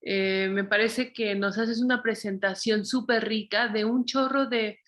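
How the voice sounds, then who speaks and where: Slow-spoken woman moderating a seminar. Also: a little high, steady tone, fairly bright tone. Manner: halting, with many restarts and fillers. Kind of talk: academic seminar discussion.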